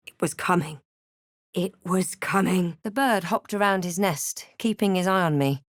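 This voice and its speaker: A woman playing a wry, guarded adventurer heroine in a fantasy game, with a measured, dramatic delivery. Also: fairly low pitch, no echo, clean studio sound, close to an everyday voice. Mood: grave narration. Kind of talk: scripted fantasy game voice lines and narration.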